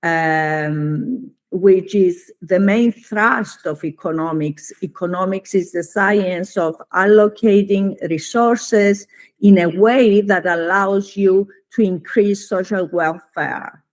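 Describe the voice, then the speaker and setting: Middle-aged greek cypriot lady, academic guest in an interview, really slow careful talker, pauses a lot. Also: mid-range voice. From Cyprus. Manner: measured, deliberate, formal, with frequent pauses. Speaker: economics professor, interview guest. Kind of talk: reflective academic interview answer.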